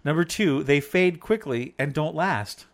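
A man's voice: fairly high pitch, lively intonation, unhurried. A man chatting with his wife on a faith talk show, playful and rambling as he counts off points like a teacher. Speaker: co-host, devotional talk.

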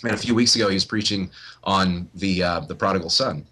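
Guest pastor, male, very low voice with a bright edge, easygoing and talkative. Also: fairly quick, breathy edge. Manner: chatty, laughs often, talks about scripture. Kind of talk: casual conversation.

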